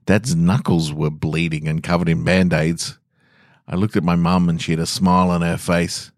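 A man's voice: low voice, little pitch movement, moderate pace, no echo, studio quality. An Australian man hosting a podcast, reading short scripted stories and talking to listeners. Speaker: podcast host and story reader. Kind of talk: scripted narration of short stories with casual host remarks.

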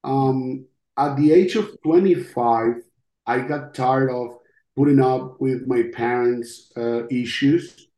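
A middle-aged man with a Costa Rican Spanish accent shares his testimony at a very unhurried pace, pausing often as he reflects. His voice is on the mellow side, and the room adds a noticeable echo. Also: mid-range voice. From Costa Rica. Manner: halting, reflective, with long pauses. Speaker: interview guest, podcast host. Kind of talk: personal testimony in an interview. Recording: roomy, echoing sound.

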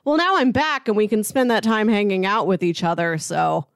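A woman's voice: mid-range voice, moderate pace, clear, resonant tone, no room sound. Woman co-hosting in a dry, close sound. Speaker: podcast co-host. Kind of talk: casual TV recap chat.

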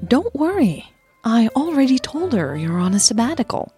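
A woman voicing a playful younger girlfriend in a romance audio drama, speaking softly with a teasing, reassuring manner. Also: on the high side, big pitch swings, normal speed, faint music behind, voice pushed up. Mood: teasing, giggly. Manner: soft, teasing, affectionate.

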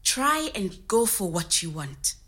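A woman's voice: mid-range voice, big pitch swings, slow pace, a bit bright. An actress playing a candid, resilient woman, telling her story conversationally. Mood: upbeat, candid. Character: Zimbabwean woman immigrant in Nottingham, candid and resilient. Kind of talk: scripted oral-history audio drama told as interview.

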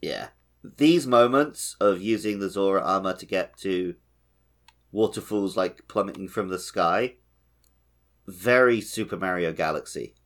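A man's voice: low-pitched, lively intonation, measured pace, a bit bright. A man co-hosting a podcast, musing informally in casual chat about video games. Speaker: podcast co-host.

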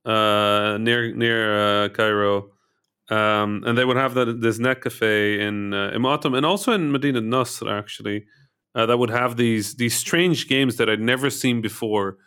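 A man's voice: fairly low pitch, steady tone, unhurried, pushed and bright, clean tone. A man from Egypt hosting a casual interview chat, often hesitating with ums and restarts. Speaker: podcast host.

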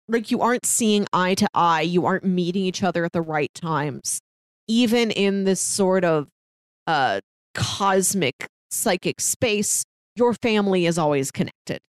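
A woman podcast host talks through a film in a casual, reflective way. Her voice sits a little low and the sound is dry and close. She feels her way through phrases, pausing and restarting as she thinks. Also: animated, clear, resonant tone, no room sound. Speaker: podcast co-host reviewing films. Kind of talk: casual conversational film discussion.

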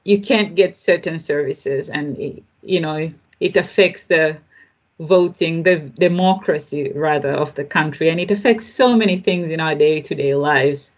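A woman speaks as a podcast host in a fairly low voice. She introduces and explains her subject deliberately, pausing and restarting as she thinks aloud. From Tanzania.

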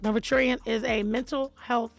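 Raspy gravelly woman on the radio, bit hoarse, chatty shout-outs, soft music under her. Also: moderate pace. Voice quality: deep raspy voice. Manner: animated, chatty, gives listener shout-outs. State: a little hoarse. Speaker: radio host. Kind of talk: casual radio chat.

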